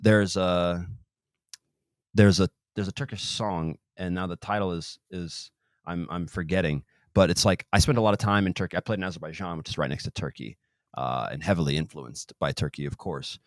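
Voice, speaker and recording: A man's voice: low voice, fairly even intonation, normal speed, dry recording, clean studio sound. A man hosting a podcast, talking casually with many restarts and half-finished phrases. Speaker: podcast host. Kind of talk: casual interview chat.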